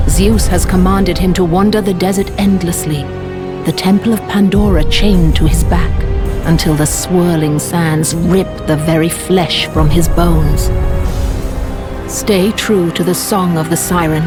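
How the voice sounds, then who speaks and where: A solemn, commanding goddess voiced by a woman hands out orders in a video game cutscene. Her voice sits lower than most women's and stays steady and instructive. Loud orchestral music plays beneath her. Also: a little slow, lightly acted.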